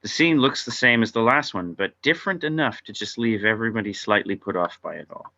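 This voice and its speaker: Bright, forceful man reading stage directions. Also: on the low side, fairly quick, highs cut off. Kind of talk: scripted narration at a table read.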